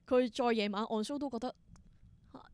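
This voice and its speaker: A woman with a Hong Kong Cantonese accent, telling stories casually as an interview guest, expressive and mixing in English words. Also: fairly high pitch, moderate pace, clear, resonant tone, no room sound. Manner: expressive, exclaims in wonder, mixes in English words. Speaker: interview guest, theatre performer.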